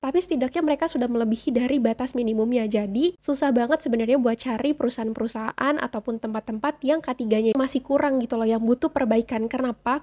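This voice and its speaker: A woman's voice: fairly high pitch, darkish tone. A young woman vlogging casually to camera, a little nasal and congested from a cold, chatting and slipping in English phrases. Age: young adult. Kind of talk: casual vlog chat to camera.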